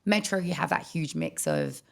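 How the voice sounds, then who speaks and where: A young Australian woman co-hosting a casual podcast, with a croaky voice and lively storytelling. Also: low-pitched, moderate pace. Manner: chatty storytelling, animated quoting. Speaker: podcast co-host. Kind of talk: casual chat.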